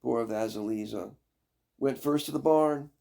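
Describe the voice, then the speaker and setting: Older man, gravelly, slow fairy-tale storyteller. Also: medium pitch, mellow. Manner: deliberate, dramatic phrasing with pauses. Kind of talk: expressive fairy-tale narration read aloud.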